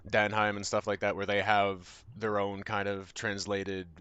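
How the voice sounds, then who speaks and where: A man's voice: lowish voice, little pitch movement, a little slow, fairly bright tone, clean tone, no echo, highs cut off. A young Canadian man with a Canadian accent, chatting casually with his stream viewers in a rambling, loose way with plenty of fillers and casual swearing. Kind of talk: casual chat with viewers while gaming.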